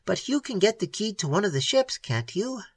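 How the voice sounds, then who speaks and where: A reader playing a brusque, confident old schemer in an audiobook, talking in a dismissive, blustering way. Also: female voice, medium pitch, big pitch swings, normal speed, dry recording, voice pushed up. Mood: scheming.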